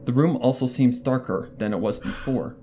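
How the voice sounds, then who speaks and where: A man's voice: medium pitch, normal speed, mellow. A man reading a scripted horror story as a dramatic first-person narrator. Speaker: fan fiction narrator.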